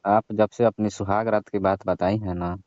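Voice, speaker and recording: A man's voice: lowish voice, fairly even intonation, moderate pace, a little mellow, no room sound. A young man chatting casually on the phone, prodding with persistent, insinuating questions. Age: young adult. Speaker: caller in a comic phone call. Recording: dry, dull lo-fi phone-call audio.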